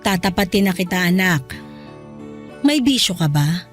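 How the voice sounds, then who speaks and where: A woman's voice: lowish voice, lively intonation, measured pace, faint music behind. A woman playing a worried, exasperated middle-aged mother in a Filipino radio drama, emotive and pleading. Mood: earnest concern.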